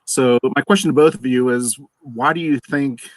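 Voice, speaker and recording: A man's voice: mid-range voice, normal speed, dry recording, poor audio. A man, a magic fan, asking the guests a friendly, somewhat wordy question over a video call. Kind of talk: live-stream interview question.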